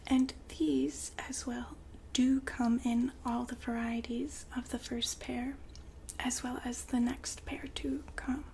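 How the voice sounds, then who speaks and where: A woman whispering softly and breathily in an ASMR roleplay, playing a gentle, attentive shop consultant. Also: fairly high pitch, little pitch movement, slow, gravelly. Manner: gentle, attentive customer-service patter. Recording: faint background noise and ambience.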